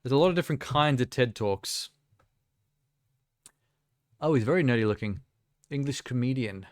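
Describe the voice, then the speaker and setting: A man's voice: medium pitch, lively intonation, moderate pace, dry recording, studio quality. A man from South Africa giving casual, off-the-cuff reactions as a live streamer, with wry, mocking asides.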